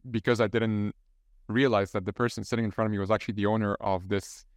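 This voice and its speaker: Young man, halting host. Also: on the low side, flat delivery, no echo, studio quality. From Egypt. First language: Arabic. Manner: halting, many restarts and "like" fillers. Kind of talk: casual interview chat.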